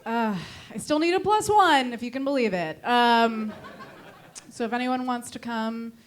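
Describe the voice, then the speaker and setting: A woman's voice: on the high side, a little slow. A young woman from Connecticut doing a stand-up set on a club stage, dry and self-deprecating, with a Connecticut accent. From New England, Connecticut. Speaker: stand-up comedian.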